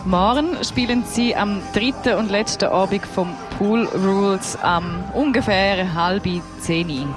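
A woman's voice: mid-range voice, a little slow, hardly any pauses. A woman with a Swiss German accent, a radio co-host bantering casually. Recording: music playing under the voice.

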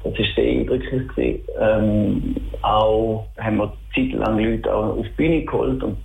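A man's voice: fairly low pitch, normal speed, creaky. A middle-aged man with a Schaffhausen Swiss German accent answers interview questions casually, searching for words with enthusiasm. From Schaffhausen, Switzerland. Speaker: interviewed band musician. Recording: thin phone-like sound.

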